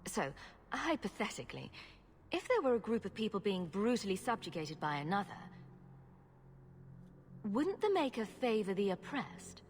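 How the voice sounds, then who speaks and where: A woman's voice: mid-range voice, sing-song, moderate pace, long pauses, slight background noise, natural voice. An actress playing a bold female adventurer in a fantasy game, with a wry, direct way of talking. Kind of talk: dramatic game dialogue.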